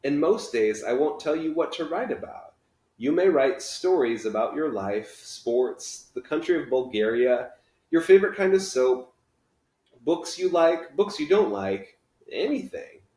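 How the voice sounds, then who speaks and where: A man's voice: fairly high pitch, expressive, measured pace, a little mellow, voice pushed up. A man reading a children's novel aloud, voicing an upbeat, encouraging and kind schoolteacher with emphatic delivery. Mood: enthusiastic. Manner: emphatic, theatrical, reassuring. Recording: echoey room.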